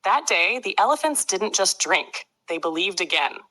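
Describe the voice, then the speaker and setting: A woman narrating a scripted children's story with clear storybook phrasing. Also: mid-range voice, very animated, normal speed, sharp tone. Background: faint music.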